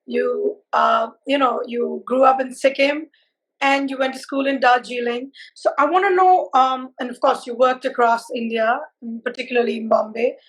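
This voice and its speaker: High-voiced effusive woman host. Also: steady tone, moderate pace, poor audio. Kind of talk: conversational interview over live video.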